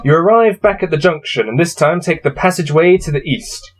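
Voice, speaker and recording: A man's voice: a little high, a little fast, soft background music. A man hosting a casual gamebook playthrough, reading passages aloud and adding wry, self-deprecating asides. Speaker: host playing through a gamebook.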